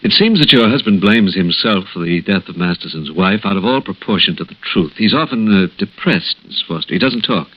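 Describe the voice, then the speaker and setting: An American actor playing a grave hospital doctor in 1960s radio drama, speaking in a measured, hesitant, solemn way. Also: male voice, deep voice, expressive, husky. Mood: grave, explaining. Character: grave, hesitant male hospital doctor breaking bad news gently. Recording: dull lo-fi vintage radio recording.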